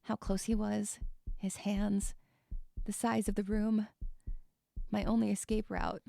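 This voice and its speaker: A woman's voice: medium pitch, little pitch movement, slow pace, gravelly, warm tone, no room sound. A woman playing an anxious, withdrawn young woman who narrates her own story in a dramatic, introspective and sometimes hesitant way. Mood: dazed, fading. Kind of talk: first-person dramatic narration with dialogue.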